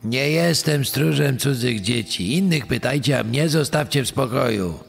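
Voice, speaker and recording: A man playing a gruff middle-aged wandering tinsmith in a true-crime dramatization, with a raspy voice and rustic, old-fashioned speech. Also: medium pitch, normal speed, faint music behind. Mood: surly, dismissive. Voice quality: raspy, gravelly.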